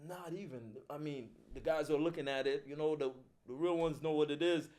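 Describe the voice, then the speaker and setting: A man's voice: fairly high pitch, fairly even intonation, brisk, darkish tone. A middle-aged man, a film producer talking casually and reflectively as an interview guest, using street slang. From Haiti.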